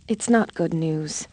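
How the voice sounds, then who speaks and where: A woman playing a composed but worried scholar of a magical tower, explaining things in a measured way in a game cutscene. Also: deep voice, dark tone, no room sound, full character voice. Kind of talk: dramatic game cutscene acting.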